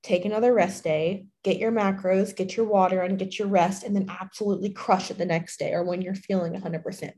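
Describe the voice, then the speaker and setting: A young woman hosting a fitness podcast alone, chatty and full of fillers, her voice slightly hoarse from being sick. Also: mid-range voice, warm tone. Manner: chatty, many fillers, laughs often. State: slightly hoarse from a recent illness. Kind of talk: casual solo podcast talk.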